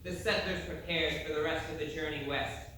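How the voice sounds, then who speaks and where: A reflective settler played by a man delivers scripted stage narration. The voice is a little high, distant and very echoey, with noticeable noise behind it. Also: steady tone, moderate pace, bright, forceful tone. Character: reflective male Irish settler recounting his nautical tale. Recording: echoey hall from far off, noisy.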